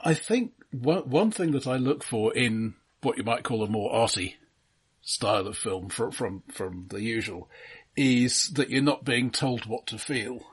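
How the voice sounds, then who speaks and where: A man's voice: medium pitch, a little slow, husky. A man co-hosting a casual film discussion, offering his observations conversationally. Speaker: film podcast co-host.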